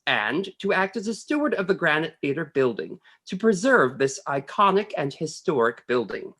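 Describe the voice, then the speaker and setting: Husky-voiced woman making a theater announcement. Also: deep voice. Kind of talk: scripted fundraising announcement.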